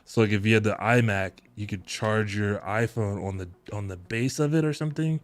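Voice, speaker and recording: A man in his thirties hosting a live tech-deals stream from his home setup, chatting in a relaxed, rambling way with frequent chuckles into a close boom mic. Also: fairly quick, no echo. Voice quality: deep voice. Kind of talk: casual live-stream chat. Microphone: boom mic close to mouth, headphones on.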